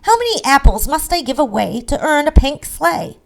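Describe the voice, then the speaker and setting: A woman's voice: sits high, sing-song, moderate pace, vocal fry, voice pushed up, full character voice. A woman voicing a fed-up, hard-working male gnome in a storybook parody, protesting indignantly.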